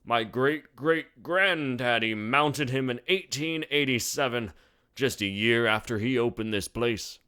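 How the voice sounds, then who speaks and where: A man's voice: medium pitch, measured pace, bright, forceful tone, clear, resonant tone, pitched above the performer's own voice. Man putting on a drawling old Western bartender. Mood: folksy, mysterious. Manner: drawling, folksy, theatrical. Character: folksy old male saloon bartender, boastful storyteller.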